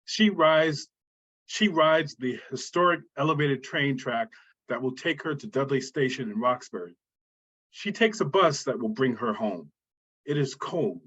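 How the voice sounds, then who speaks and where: Husky man narrating stage directions. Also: medium pitch, expressive, a little slow, a bit bright. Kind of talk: scripted screenplay narration. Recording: dull, muffled sound.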